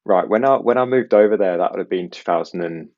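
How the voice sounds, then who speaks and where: A man's voice: sits low, fast, a little mellow, no echo, dull audio. A young man who grew up in Belgium, with an international English accent carrying faint West Midlands traces, chatting casually and self-deprecatingly as a podcast guest.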